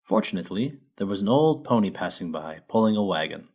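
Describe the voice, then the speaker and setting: A man narrates a scripted children's story. His voice sits on the low side and swings widely in pitch, giving the telling an expressive storybook feel. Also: brisk, husky.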